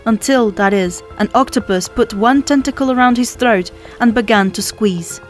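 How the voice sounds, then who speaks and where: A woman's voice: on the high side, moderate pace. A woman reading a children's story aloud as scripted narration, as an audiobook narrator does. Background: music.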